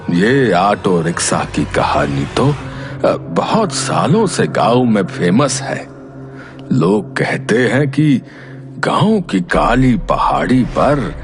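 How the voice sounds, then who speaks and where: A man voicing a gentle, ailing old village grandfather in a cartoon story, with halting speech and a cough. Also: medium pitch, vocal fry, heavily acted. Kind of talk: Hindi cartoon story dialogue.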